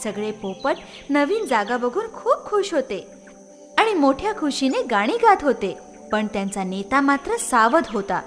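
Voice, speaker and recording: Woman, children's story narrator, high voice, expressive intonation, soft music underneath. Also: unhurried.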